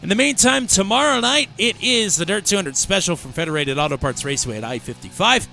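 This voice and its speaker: Man, race broadcast host, hoarse from being sick, bright voice, faint music. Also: sits high, animated, fairly quick. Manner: upbeat, rattles off schedule. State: sick, losing his voice. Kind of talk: sim racing broadcast commentary.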